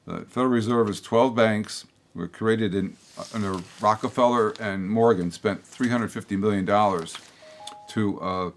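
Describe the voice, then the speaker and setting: Older guy with a lowish voice, a bit hoarse, rambling and blunt, lots of restarts. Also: moderate pace. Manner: meandering, blunt, with frequent restarts and asides. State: slightly hoarse, with a throat tickle. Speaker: host of a local public-access political show. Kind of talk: rambling opinion monologue to camera.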